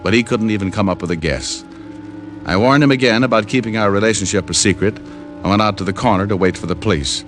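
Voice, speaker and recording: A man's voice: lowish voice, fast talker, close to an everyday voice. An American man playing a wry, streetwise private detective in old-time radio style, narrating in clipped slang. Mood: matter-of-fact narrating. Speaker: lead actor and narrator of a detective series. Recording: vintage radio recording with music.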